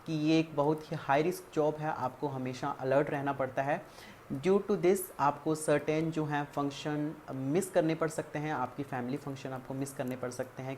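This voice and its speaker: An Indian woman with a notably low-pitched voice presents an explainer in a methodical, point-by-point way. She mixes English terms into her speech as she guides the listener. There is some ambient noise behind her voice. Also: a little mellow. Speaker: career guidance video presenter. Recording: clearly audible background ambient noise.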